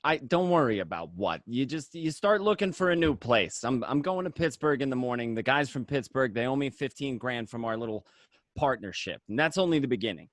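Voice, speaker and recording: A man plays a hustling gangster in a radio drama. The delivery swings widely in pitch, stammering and insistent, always quick to reassure. The sound is dry and close, with a medium-pitched voice. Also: animated, a bit bright, dry recording, lightly acted. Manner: stammering, insistent, repeats words. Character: defensive, hustling young male gangster, eager to reassure. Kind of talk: amateur radio drama table read.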